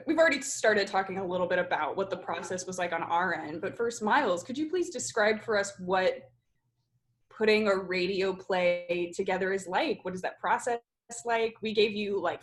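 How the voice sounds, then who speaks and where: A woman hosts an informal panel discussion as a theatre director. Her pitch sits in the middle range, and her delivery is conversational and unscripted. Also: normal speed. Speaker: host, theatre director and producer.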